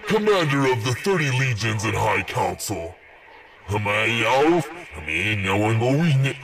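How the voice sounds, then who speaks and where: An ancient demon commander, speaks very slowly and with haughty contempt. His voice is low and ominous. It sounds distant, like a radio transmission with a murmuring crowd behind it. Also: very animated, creaky, noisy background, heavily acted. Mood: menacing, haughty.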